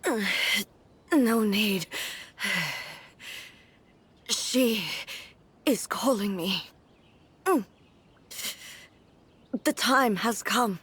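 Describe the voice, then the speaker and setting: A woman's voice: on the high side, expressive, extremely slow, long pauses, breathy edge, exaggerated voice. A woman playing an ageless, regal ruler deity in a video game, speaking formally and with grave dignity. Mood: strained, weak. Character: centuries-old female ruler deity, solemn, regal, dutiful.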